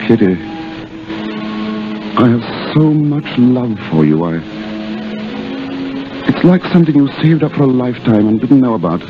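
A young Englishman hopelessly in love, in a 1930s radio drama. His delivery is halting and boyish, with lively swings of pitch. The broadcast is muffled, with music present.

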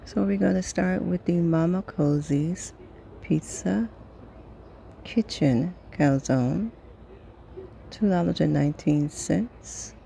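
A woman casually reading through a sales flyer item by item, pausing between phrases in an unhurried way. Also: lowish voice, vocal fry, mellow, slight background noise. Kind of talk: casual product read-through.

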